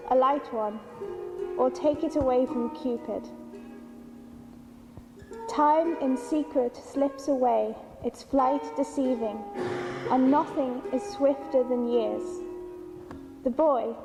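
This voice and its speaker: Female poetry reader, high pitch, unhurried pace, deliberate short phrases. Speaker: translator reading their own translation. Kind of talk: measured literary poetry reading. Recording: long hall reverb with music.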